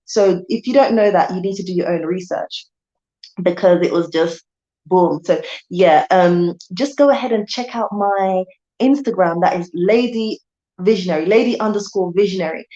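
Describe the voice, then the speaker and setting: A woman's voice: on the low side, normal speed, mellow, highs cut off. Chatty woman hosting a livestream. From Jamaica. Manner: chatty, repeats herself, addresses viewers as guys. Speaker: channel host. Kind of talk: casual livestream chat.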